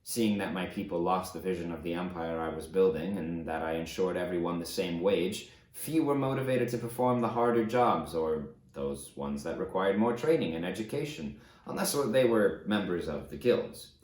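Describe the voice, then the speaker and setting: A low-voiced fallen tyrant, acted by a man in a dramatic audiobook. He confesses his atrocities with grave, measured emphasis and heavy remorse. The room rings around his deep voice. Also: lively intonation, a little mellow, echoey room. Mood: stern, explanatory. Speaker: audiobook narrator. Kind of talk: dramatic first-person audiobook reading.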